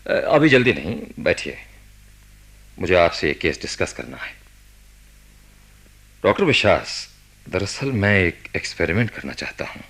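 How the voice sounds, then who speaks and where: A man acting a commanding, preoccupied senior hospital doctor in a radio drama, speaking deliberately with thoughtful hesitations in a gravelly, husky voice. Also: low voice, big pitch swings, a bit bright, exaggerated voice. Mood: grave, authoritative.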